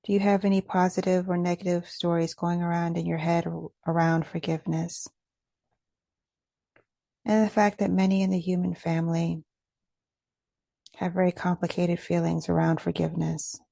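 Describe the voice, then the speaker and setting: A woman leading a guided meditation in a gentle, measured, instructional way. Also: fairly low pitch, flat delivery, creaky, dry recording.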